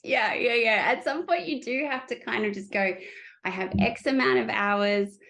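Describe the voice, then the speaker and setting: A woman's voice: fairly high pitch, moderate pace, creaky, a bit bright. A woman hosting a casual design podcast, asking a fellow designer questions and affirming often with "yeah" and "um.". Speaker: podcast host and interior designer.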